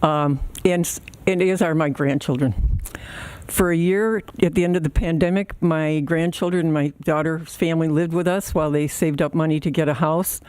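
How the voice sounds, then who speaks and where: An older woman, in her sixties or older, with a soft voice, speaking haltingly and informally at a public meeting. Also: low-pitched, steady tone, smooth. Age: 60s or older. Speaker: resident giving public comment.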